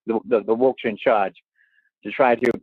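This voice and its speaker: A man's voice: mid-range voice, fairly even intonation, moderate pace, slightly rough. A man calling in to a talk radio show over a phone, speaking bluntly and often repeating words. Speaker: caller. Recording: muffled phone line.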